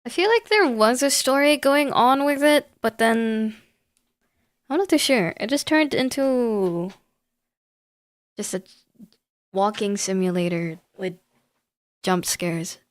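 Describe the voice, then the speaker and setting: A woman's voice: a little high, takes it slowly, pauses often, no room sound, studio quality. A woman giving casual, informal livestream commentary on horror games, thinking aloud in a hesitant way. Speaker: video game livestreamer.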